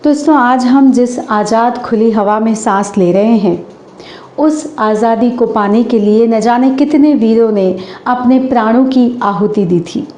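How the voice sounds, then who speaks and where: A hoarse woman hosts her channel and recites a stage anchoring script in a formal, declamatory way, slowing down for poetic couplets. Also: on the high side, mellow, echoey room.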